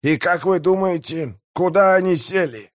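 A man's voice: high-pitched, normal speed, dry recording, clean studio sound, pitched above the performer's own voice, lightly acted. A man voicing a boastful, greedy pirate mayor in an audiobook, blustering and commanding in a theatrical way. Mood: gleeful, scheming.

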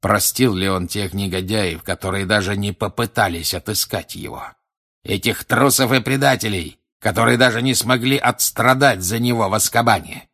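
Man as a grim, menacing older wizard, low and husky. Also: steady tone, fairly bright tone. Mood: contemptuous, menacing. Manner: insistent, deliberate, menacing questioning. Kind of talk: audiobook character acting.

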